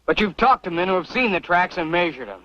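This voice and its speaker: A man's voice: high voice, sing-song, quick pace, bright, forceful tone, full character voice. A man acting in an old-time radio western as an earnest, stubborn mining-camp fellow, folksy and American-accented. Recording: dull, noisy old radio recording.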